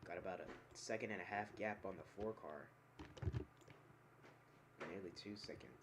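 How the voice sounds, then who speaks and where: A man giving play-by-play commentary on an online sim racing event, calling the on-track action quickly and correcting himself as he goes. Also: low voice, heavy background noise. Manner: rapid calls of on-track action, talking himself through corrections. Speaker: race commentator.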